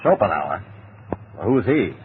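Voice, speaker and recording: An actor playing a blunt, skeptical, uncultured police captain in a 1940s radio detective drama, plainspoken and offhand. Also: male voice, low voice, very animated, measured pace. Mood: doubtful. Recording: noisy, tinny vintage radio recording.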